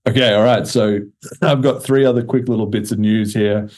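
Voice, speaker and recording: A man's voice: fairly low pitch, steady tone, normal speed, a little mellow. A man hosting a podcast in a casual, chatty style with informal, slangy talk. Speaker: podcast host.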